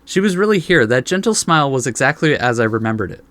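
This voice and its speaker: Male gaming host, medium pitch, casual, laid-back, reading game story aloud, informal commentary. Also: sing-song, quick pace, fairly bright tone, no echo. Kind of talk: casual let's play, reading story text aloud with reactions.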